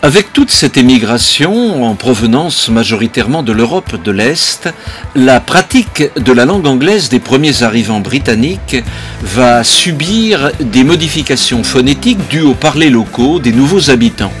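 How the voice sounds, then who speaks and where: A man giving scripted documentary narration in a measured, explanatory way. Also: mid-range voice, big pitch swings, faint music behind.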